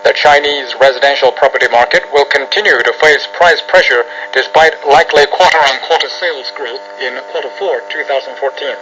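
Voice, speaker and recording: A man reading a scripted international news bulletin as a radio newsreader, with formal, measured delivery. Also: mid-range voice, a bit bright. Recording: dull lo-fi shortwave radio, music underneath. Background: music.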